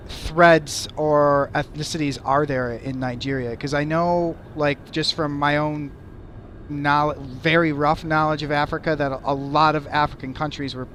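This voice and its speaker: A young American man with a slightly high voice hosts a laid-back chat, asking questions and musing aloud. A faint noise sits underneath. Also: a bit bright. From United States. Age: young adult. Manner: curious, thinking aloud with many "like"s. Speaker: host. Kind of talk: casual podcast chat.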